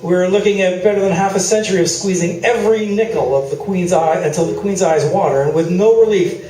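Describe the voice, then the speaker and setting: Man as a sardonic, high-voiced sports commentator, echoey. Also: brisk, dark tone, lightly acted. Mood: exasperated. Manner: dry sarcasm, mock-formal wordiness. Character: sardonic, needling male sports commentator, skeptical and exasperated. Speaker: actor in a live radio play. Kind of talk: staged talk-show debate, comic radio play. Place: live theatre, mic at a distance. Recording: echoey, distant stage recording with music.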